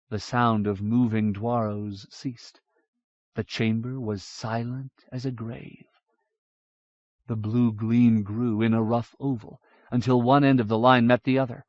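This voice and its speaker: A man reading a fantasy novel aloud in a measured, even storytelling manner. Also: medium pitch, dry recording. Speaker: audiobook narrator. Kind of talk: scripted fantasy narration. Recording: dull dry audiobook recording.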